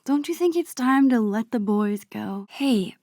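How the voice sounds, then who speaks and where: A woman voicing a nerdy, gentle teenage girl in a video game, soft-spoken and often breathy, with a wry, slightly awkward, nostalgic way of teasing her best friend. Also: fairly high pitch, animated, a little slow, dry recording, studio quality. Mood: playful, teasing. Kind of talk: naturalistic video game dialogue acting.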